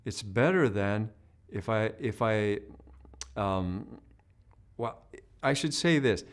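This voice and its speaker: A man's voice: on the low side, animated, takes it slowly. A man giving an online singing lesson, explaining patiently and checking in with "right?". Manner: demonstrates hoarse and humming sounds mid-explanation. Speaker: singing teacher. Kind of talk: instructional video lesson.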